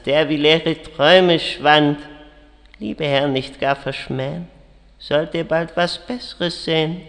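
Slow, echoing undead villain lord. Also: male voice, fairly high pitch, fairly bright tone. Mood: solemn, sly. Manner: slow, declamatory. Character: boastful undead villain lord, grand and menacing. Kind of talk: theatrical fantasy audio drama parody. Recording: long cavernous reverb on voice.

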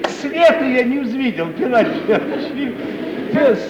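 An actor playing a meek, plaintive provincial comedian in an old radio play, fussing and repeating himself in whining protest. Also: male voice, very high-pitched, sing-song, unhurried, crowd noise, exaggerated voice. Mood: aggrieved storytelling. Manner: stammering repetitions, whining, fussy protest. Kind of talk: mid-century Russian stage radio acting. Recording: muffled, noisy, roomy 1950 radio recording, off-mic.

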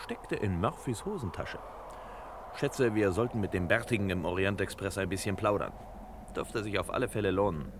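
A know-it-all master detective from a vintage radio crime spoof. The voice is fairly low and self-assured, with clipped, pompous precision. Clear noise sits on the old recording. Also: male voice, animated, moderate pace. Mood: brisk, superior. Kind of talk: 1960s German crime radio play parody.

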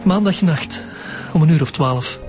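A man's voice: fairly high pitch, measured pace, darkish tone, soft background music, natural voice. A man playing a defensive, nervous young witness in a Flemish radio drama, hesitant and evasive. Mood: uneasy. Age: young adult.

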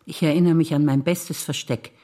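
A woman, a stage actor, reciting childhood memories in a theatre performance in a plain, even way. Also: deep voice, brisk.

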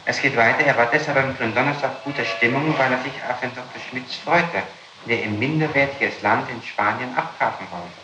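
A man working as a public prosecutor, conducting a formal interrogation in halting, official phrasing and reading out statements. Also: mid-range voice. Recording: noisy, echoey archival interrogation tape.

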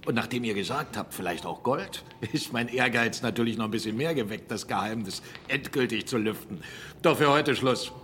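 Actor as commanding, ambitious captain. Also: male voice, mid-range voice, expressive, moderate pace, fairly bright tone, slight background noise, close to an everyday voice. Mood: brisk, commanding. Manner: commanding, decisive. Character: brisk, ambitious male captain used to giving orders. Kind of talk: German radio drama acting.

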